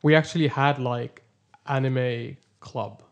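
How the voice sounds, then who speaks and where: A man from Austria talks in a relaxed, halting way, full of fillers and restarts. His voice sits in an ordinary middle pitch. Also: a crowd in the background. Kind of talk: casual chat.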